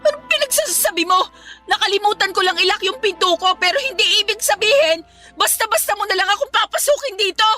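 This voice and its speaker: A woman's voice: very high voice, lively intonation, fast talker, bright, forceful tone, faint music behind, heavily acted. A woman playing a wronged but defiant young provincial working student in a radio drama, scolding, pleading and tearful. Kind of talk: Tagalog radio drama acting.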